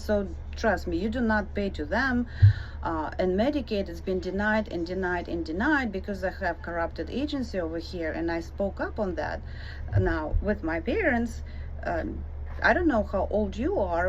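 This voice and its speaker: A woman's voice: fairly low pitch, a little mellow. Woman, Ukrainian accent, middle-aged, indignant direct address, dull muffled audio. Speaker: vlogger answering a comment. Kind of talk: direct-to-camera rebuttal. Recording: dull lo-fi audio with faint noise.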